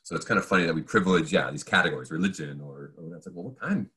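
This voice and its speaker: A man's voice: extremely low pitch, very fast, bright, forceful tone. A man who is a professor and podcast guest, talking conversationally in an analytical, discursive way and voicing imagined questions. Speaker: podcast guest, philosophy and religious studies professor.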